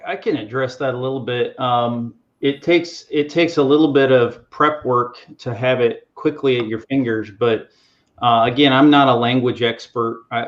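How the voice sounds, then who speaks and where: A man on a video call explaining a software tool in a halting, step-by-step way. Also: medium pitch. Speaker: panelist, software coach. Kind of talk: casual explanation. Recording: dull lo-fi call audio.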